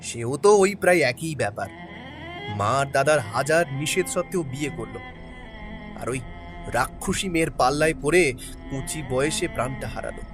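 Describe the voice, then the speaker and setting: A man's voice: medium pitch, animated, normal speed, long pauses, lightly acted. A man playing a guarded middle-aged man who tells a sad family history in a somber, reluctant way. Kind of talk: audio drama acting. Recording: faint background music.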